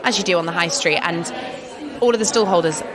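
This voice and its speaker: A woman's voice: lowish voice, fairly quick. Chatty English woman at a busy fair. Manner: enthusiastic, chatty, laughs often. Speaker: artist interviewed. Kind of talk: casual interview chat. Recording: crowd chatter behind.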